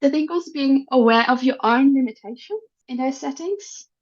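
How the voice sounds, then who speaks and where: A woman's voice: sits high, moderate pace, muffled recording. A woman from the Netherlands whose first language is Dutch, talking frankly and thoughtfully as an interview guest about her work. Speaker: guest, head of people team. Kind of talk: casual interview talk.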